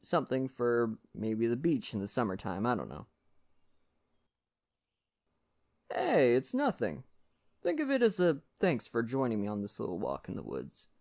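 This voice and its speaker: A man playing a friendly, folksy lumberjack in a soft-spoken ASMR roleplay, talking gently and reassuringly to the listener. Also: mid-range voice, big pitch swings, fairly quick. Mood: warm, modest. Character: friendly Canadian lumberjack, gentle nature-loving father.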